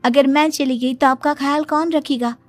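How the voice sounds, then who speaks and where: A woman voicing a dutiful, soft-hearted young daughter and bride in a moral story. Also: high voice, fairly even intonation, moderate pace, voice pushed up, lightly acted. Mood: pleading, affectionate. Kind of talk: moral story character dialogue. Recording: music behind the voice.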